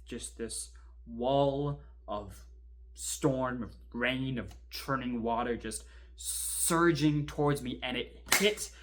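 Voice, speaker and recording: A man's voice: mid-range voice, a little hiss or hum, close to an everyday voice. Man acting as a wry, chatty storm survivor. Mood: exhilarated. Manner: wry, informal, halting for suspense, swelling then hushed. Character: chatty kayak rescuer recounting an eerie near-drowning. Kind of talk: conversational horror monologue, animated storytelling.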